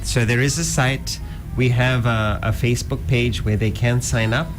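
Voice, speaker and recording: A middle-aged man raised in South Africa talks as a radio guest, laying out ideas in a calm, measured way. His voice sits at an ordinary pitch, and clear background music runs under the studio talk. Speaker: guest speaker, Vedanta teacher. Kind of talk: radio interview.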